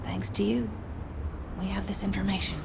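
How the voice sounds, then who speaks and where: An actress playing a serious, deliberate female soldier in a video game, driven and grieving. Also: medium pitch, fairly even intonation, natural voice. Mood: solemn. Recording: clear background noise and ambience.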